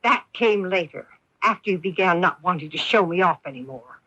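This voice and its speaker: A hurt, protective wife, theatrical delivery, very lively pitch, dull lo-fi radio recording. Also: female voice, no room sound. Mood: bitter, wounded. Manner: theatrical, dramatic, emphatic delivery with deliberate pauses. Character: bitter, wounded wife and protective mother, faded society belle. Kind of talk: 1980s radio drama acting.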